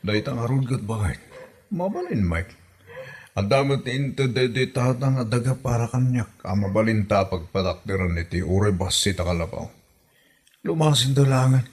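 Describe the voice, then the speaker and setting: A man's voice: mid-range voice, lively intonation, moderate pace, a little mellow, husky. A man playing a put-upon husband in a comedy drama, grumbling defensively with a northern Philippine accent. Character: put-upon husband caught between wife and mother. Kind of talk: comedy radio drama acting.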